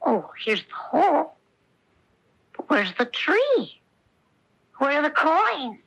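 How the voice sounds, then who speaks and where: A high, bright little puppet boy for a 1970s radio play. He speaks slowly, with childlike earnestness and a gullible, trusting innocence. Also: big pitch swings, gravelly, heavily acted, poor audio. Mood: cheerful, puzzled. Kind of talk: 1970s radio adventure theater acting.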